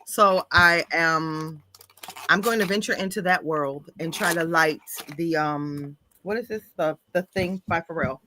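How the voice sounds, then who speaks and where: A woman's voice: sits low, measured pace, noisy background. A woman co-hosting a casual livestream, upbeat and chatty as she tells stories about her week. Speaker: co-host.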